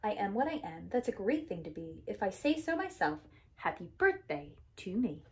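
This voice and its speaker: Animated woman reading a rhyming storybook. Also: medium pitch, moderate pace, slight background noise. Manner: sing-song, emphatic rhythmic delivery. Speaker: preschool teacher reading to children. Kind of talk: animated read-aloud of a rhyming picture book.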